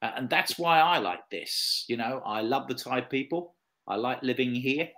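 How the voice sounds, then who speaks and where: English guy in his 50s, online tutor chatting one on one, medium pitch, repeats stuff for the learner. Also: animated, normal speed, pushed and bright. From England. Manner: repeats and checks phrases for a learner. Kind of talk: one-to-one conversation lesson. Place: online video lesson call.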